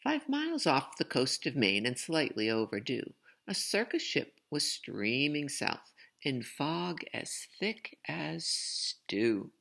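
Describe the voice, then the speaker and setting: A woman reads a children's story aloud in a low, creaky voice. Her intonation swoops expressively, and she pauses for chatty asides to young listeners. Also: big pitch swings, a bit bright.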